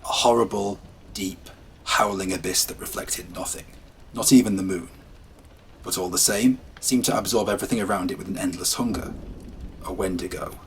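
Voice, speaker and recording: A low-pitched man reads first-person horror tales aloud. His telling swings from hushed and ominous to brisk and lively. A faint patter of rain sits behind him. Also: vocal fry, slight background noise, poor audio. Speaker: storyteller. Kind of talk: scripted horror narration.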